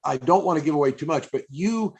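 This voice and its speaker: A man's voice: on the high side, lively intonation, quick pace, creaky, darkish tone. A man hosting a live interview over a video call, effusive and often repeating and restarting his phrases. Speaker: interviewer and event host. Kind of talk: live interview for an old-time radio fan event.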